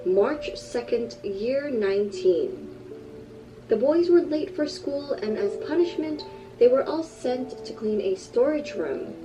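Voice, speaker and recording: A woman giving a scripted narration in an even, measured storytelling voice. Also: on the high side. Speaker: video narrator. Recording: muffled distant playback with music. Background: background music.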